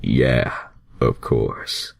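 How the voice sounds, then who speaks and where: A man voicing a cool, laid-back cartoon hedgehog hero with a casual, smooth-talking manner in a fan fiction story. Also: a bass voice, extremely slow, dry recording, pitched below the performer's own voice, exaggerated voice. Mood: relaxed.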